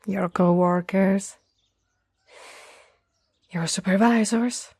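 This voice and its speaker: Casting: actress as caring, playful young woman for ASMR roleplay; soft husky breathiness, unhurried delivery, dry close sound. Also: on the low side, dry recording, heavily acted. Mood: relieved, caring. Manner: slow, playful, gently coaxing. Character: tall, teasing, caring young woman, former childhood friend.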